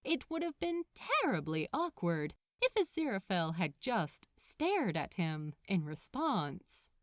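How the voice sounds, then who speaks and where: A woman reading fiction aloud as a narrator, in an expressive storytelling style. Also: medium pitch, a little slow, no room sound, studio quality. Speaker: podfic narrator.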